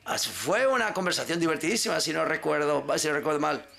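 New York woman, gravelly, storytelling. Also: low voice, fast. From United States. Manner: lively storytelling with frequent restarts. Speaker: actress. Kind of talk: press conference answer.

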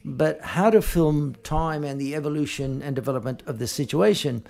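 A man interpreting live at a film press conference, speaking in halting, piecemeal phrases and often correcting himself. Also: a little high, vocal fry, darkish tone.

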